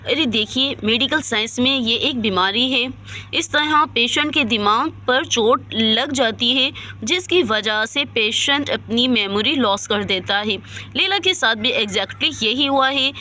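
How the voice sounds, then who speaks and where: A woman's voice: a little high, unhurried, sharp tone, voice pushed down. A woman voicing a concerned, authoritative female doctor in a dramatised story, explaining firmly and reassuringly. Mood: serious. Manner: instructive, emphatic, reassuring.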